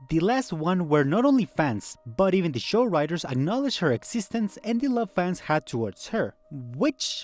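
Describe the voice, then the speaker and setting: Higher-pitched man narrating with lively intonation. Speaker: video essay narrator. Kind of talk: scripted narration. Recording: background music under voice.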